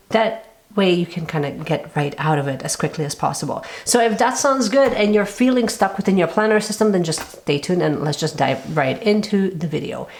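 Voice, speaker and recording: Woman, low voice, raspy from a cold, casual chatty host, lots of fillers. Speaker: planner channel host. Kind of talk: casual chat to camera.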